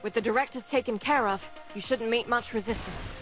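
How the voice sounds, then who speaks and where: Actress as a commanding high-voiced rebel leader. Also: female voice, fairly quick, fairly bright tone, soft background music, close to an everyday voice. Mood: commanding, wry. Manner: commanding, dry. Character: tough, commanding female resistance leader. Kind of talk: video game mission briefing.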